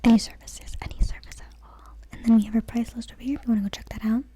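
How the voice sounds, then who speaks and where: A woman role-playing a friendly nail salon technician, whispering softly close to the mic and slowly repeating words. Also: fairly high pitch, level tone, lightly acted. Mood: gentle. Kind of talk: ASMR whispered roleplay.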